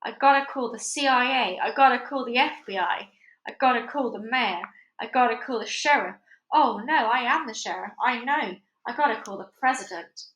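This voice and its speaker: A woman voicing a bulky, excitable male sheriff who talks in a frantic, rambling way. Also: fairly high pitch, normal speed, highs cut off, lightly acted. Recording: roomy echo.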